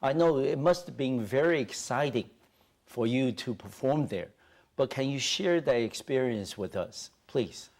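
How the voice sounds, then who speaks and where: Middle-aged tv host guy, polite, keeps saying you know, studio lapel mic, average pitch. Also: a little slow, darkish tone. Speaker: TV talk show host. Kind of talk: studio interview.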